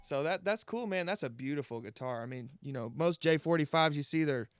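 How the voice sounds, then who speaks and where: A man's voice: on the high side, animated, a little fast, faint music behind. A man hosting an interview in a laid-back, upbeat way, full of casual chatter. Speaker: interview host.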